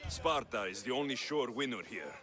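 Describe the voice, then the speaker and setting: An actor playing a stoic Greek mercenary warrior in a video game, terse and dryly loyal. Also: male voice, mid-range voice, expressive, moderate pace, sharp tone. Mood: resolute. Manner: dry, terse. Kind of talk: scripted video game acting. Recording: music behind the voice.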